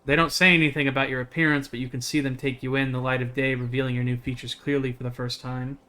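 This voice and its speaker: A man's voice: medium pitch, little pitch movement, fast, sharp tone. A man livestreaming a game, chatting casually in a jokey, slangy way and reading its text aloud. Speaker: game streamer.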